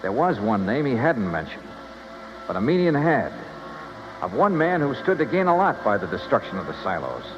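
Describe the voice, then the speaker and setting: A man's voice: mid-range voice, very animated, moderate pace, close to an everyday voice. An actor playing a tough, dogged male investigator with clipped, slangy talk in a 1950s radio detective drama. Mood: brooding narration. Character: tough, dogged male insurance investigator narrating his case. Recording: muffled, noisy old radio recording.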